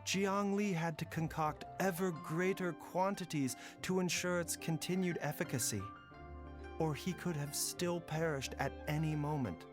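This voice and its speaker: A man playing a calm, courteous doctor in a video game, speaking in a measured, formal way with grave concern. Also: a little high, close to an everyday voice. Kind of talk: scripted video game voice acting. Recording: background music under the voice.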